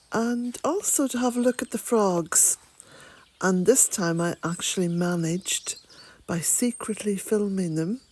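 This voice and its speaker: An Irish woman narrating a gentle garden vlog in a soft, reflective way, sometimes dropping almost to a whisper. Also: medium pitch. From Ireland. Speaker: vlogger. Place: outdoors in a woodland garden, birds nearby.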